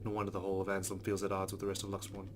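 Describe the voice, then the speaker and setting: A man's voice: sits low, completely flat delivery, very fast, background noise. A man hosting a let's play, reading visual novel narration aloud.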